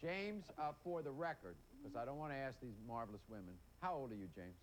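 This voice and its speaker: A man's voice: mid-range voice, lively intonation, fairly quick. A man hosting a daytime talk show, asking playful, teasing questions of his guests. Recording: noisy old TV studio audio.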